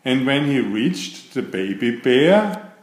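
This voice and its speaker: A man reading a children's book aloud as narrator, with expressive, deliberate phrasing. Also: medium pitch. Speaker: storybook reader. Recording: roomy, echoing sound.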